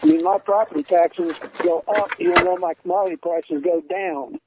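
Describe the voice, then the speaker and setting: Midwest american guy, high voice, farmer calling in, plain talking and earnest, muffled phone sound. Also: moderate pace, background noise. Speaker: caller, wheat farmer. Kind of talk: phone-in question. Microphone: telephone. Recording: dull muffled telephone line.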